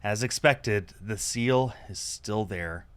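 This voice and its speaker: A man with a fairly low voice reads story narration aloud as a game streamer. He is recorded indoors, with game music playing behind him. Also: slow pace, fairly bright tone, smooth. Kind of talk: reading visual novel narration aloud.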